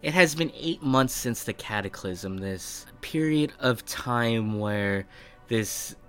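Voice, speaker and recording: A man's voice: mid-range voice, animated, slow pace, fairly bright tone. A man speaking as a game master, hosting a fantasy role-playing show and setting up questions for a player. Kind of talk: scripted intro narration and character interview. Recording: music behind the voice. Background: background music.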